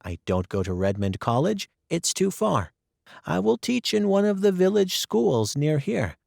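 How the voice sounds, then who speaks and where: An earnest, cheerful teenage girl from a storybook, her voice lifted high and eager, as a man puts her on. The voice swoops with lively pitch yet keeps a gravelly texture, delivered slowly in a dry, close recording. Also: dry recording, exaggerated voice. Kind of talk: storybook reading.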